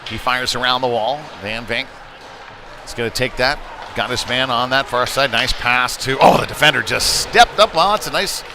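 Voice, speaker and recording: A man calling live hockey play-by-play, mixing conversational analysis with excited surges on big plays. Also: medium pitch, moderate pace. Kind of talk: live sports commentary. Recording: noisy rink ambience behind live commentary. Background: busy ice rink noise.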